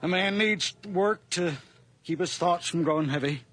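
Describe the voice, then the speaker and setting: A man's voice: high-pitched, moderate pace, a bit bright, no echo. A man playing a kindly, lonely old widowed farmer in a 1980s radio drama, speaking in a plain, folksy, hesitant way. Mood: wistful, plain. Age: older.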